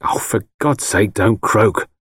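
A man's voice: on the low side, slow pace, a bit bright, breathy edge, no room sound, studio quality, full character voice. A man playing a defensive young working-class son, impatient and sarcastic, in an audiobook story. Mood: irritated, sarcastic. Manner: sarcastic, brusque.

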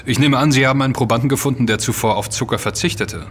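A man's voice: mid-range voice, fast talker, fairly bright tone, a little hiss or hum, close to an everyday voice. A man playing a probing investigator in an audio drama, speaking in a formal, composed and analytical manner. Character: measured, probing male investigator, formally polite. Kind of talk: German audio drama dialogue.

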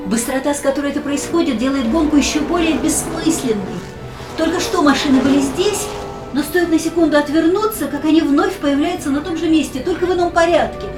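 An actress playing a young woman in a radio play, speaking an inner monologue in a soft, often whispered voice. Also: high voice, a little fast, over music, natural voice. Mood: tense restless. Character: young woman, fragile, resolved, inward. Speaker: heroine voicing inner monologue.

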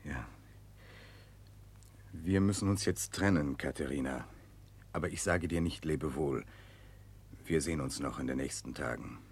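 An actor playing an earnest, lovelorn Russian prince in a German radio play, troubled and pleading. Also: male voice, normal speed, gravelly, long pauses, husky, heavily acted. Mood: tender, sorrowful. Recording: rumbly, slightly noisy radio recording.

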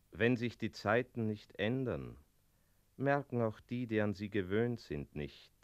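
An actor playing a wistful talking tomcat, narrating a radio play in a measured, musing way. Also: male voice, on the low side, no echo. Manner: measured, musing, punctuated with meows.

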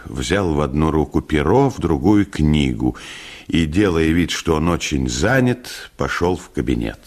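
A man with a fairly low voice reads literary fiction as a narrator. He takes his time, with a very slow pace and a dramatic storyteller's delivery.

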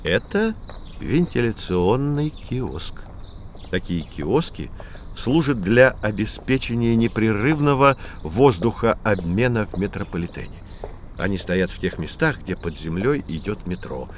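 A man's voice: fairly low pitch, lively intonation, unhurried, a little hiss or hum. A man playing a kindly, patient professor explaining things to children in a scripted educational audio play. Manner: instructive, encouraging.

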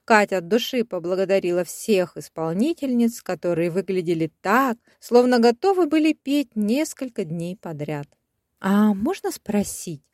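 A woman reads a fairy tale as its storyteller. Her narration is expressive, sitting at an average pitch for a woman, and the recording is dry, with no room around the voice. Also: no echo.

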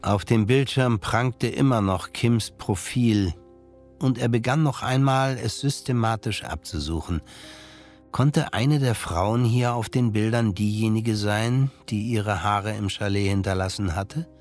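A man's voice: lowish voice, measured pace, darkish tone. A man reading scripted literary narration as the narrator of a German audio drama. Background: music.

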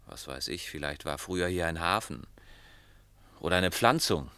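A man's voice: low voice, lively intonation, normal speed, bright, forceful tone, clear, resonant tone, no room sound, exaggerated voice. An actor playing a wary, adventurous male sea traveller in a German science fiction radio drama, speaking casually and matter-of-factly. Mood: casual, skeptical.

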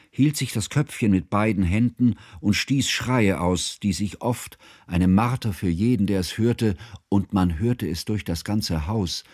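An actor playing a grave, mournful narrator, reading literary prose in a solemn, articulate way for a radio drama. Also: male voice, lowish voice, darkish tone, husky, no room sound, studio quality.

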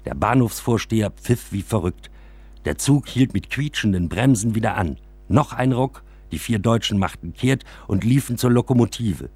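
A man narrates a first-person literary story for radio. His voice sits fairly low and has a husky grain. He tells it expressively, in a close, dry studio sound. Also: expressive, moderate pace, a little mellow, no echo.

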